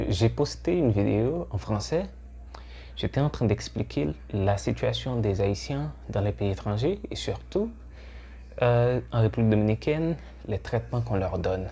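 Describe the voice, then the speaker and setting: A man's voice: medium pitch, dark tone, a little hiss or hum. A Haitian man whose first language is Haitian Creole, hosting his channel with an earnest, urging monologue full of hesitations. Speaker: channel host. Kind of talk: scripted monologue to camera.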